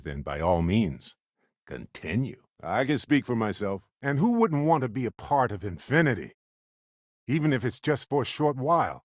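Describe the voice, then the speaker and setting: A low, very lively voice in a close, dry recording, a middle-aged space explorer from a video game. He is genial and curious, talking conversationally with a wry edge. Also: dry recording. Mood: wry, hesitant, then thoughtful, earnest. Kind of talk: video game character dialogue.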